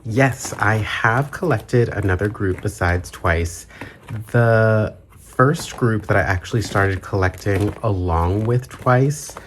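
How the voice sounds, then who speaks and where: A man's voice: fairly low pitch, slight background noise. A man chatting informally as the host of a hobby vlog, his voice affected by the tail end of a cold. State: getting over a cold. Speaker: YouTube channel host, collector.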